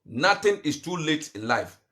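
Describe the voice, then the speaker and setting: Guy coaching on money, kinda high voice, keeps restarting and asking what? then answering himself. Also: very animated, pushed and bright. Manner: repetitive, halting restarts, rhetorical questions; rises in pitch and force when urging. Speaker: finance coach. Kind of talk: motivational talk to camera.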